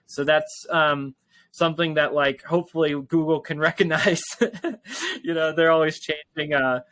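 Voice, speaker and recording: Bright-voiced man talking casually, close and dry. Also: on the high side, flat delivery, normal speed, no room sound. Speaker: tech company founder and interview guest. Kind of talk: casual interview talk.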